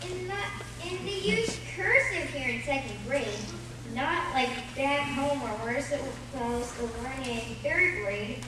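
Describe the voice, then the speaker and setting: A chatty, wide-eyed little girl played by a woman with a very high voice, acting dramatically in a live radio play. The recording is distant and echoes heavily around a theater. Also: measured pace. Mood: upset. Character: earnest, chatty little second-grade girl. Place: theater stage. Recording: roomy live stage recording, distant, noisy.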